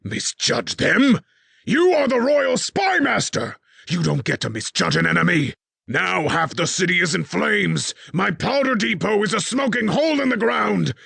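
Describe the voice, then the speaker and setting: A man voicing a furious male king who rants and yells at his spymaster. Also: sits high, big pitch swings, a little slow, vocal fry, breathy edge, dry recording, voice pushed up, heavily acted. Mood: enraged. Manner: ranting, yelling.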